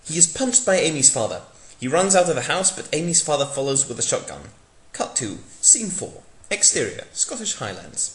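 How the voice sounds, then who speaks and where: A man's voice: on the high side, big pitch swings, normal speed, a bit bright. A man narrating a mock-dramatic reading of fan fiction in a theatrical manner. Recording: echoey room.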